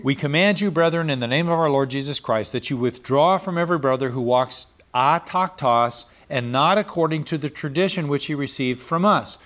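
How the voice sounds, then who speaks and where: A man's voice: a little high, moderate pace, few pauses, a bit bright. A middle-aged man giving a verse-by-verse Bible study, explaining and repeating Greek words, seated in a small studio with a lapel mic. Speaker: Bible teacher.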